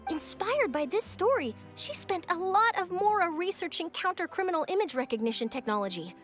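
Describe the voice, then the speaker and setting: A woman's voice: very high-pitched, big pitch swings, brisk, a bit bright, faint music behind, full character voice. A woman voicing an eager, earnest and articulate young female journalist in a video game. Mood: earnest explaining. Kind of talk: English video game voice acting.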